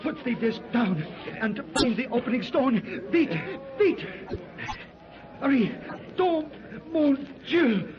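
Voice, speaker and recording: A man playing an excitable French companion with a French accent in a 1930s radio drama, flustered and full of French exclamations. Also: very high-pitched, slow, long pauses, crowd noise, heavily acted. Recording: dull lo-fi 1930s radio recording.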